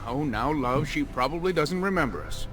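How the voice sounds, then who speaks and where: A man's voice: on the high side, lively intonation, moderate pace, a bit bright, faint music behind. An actor playing an opinionated but kindly older elf man in a fantasy video game.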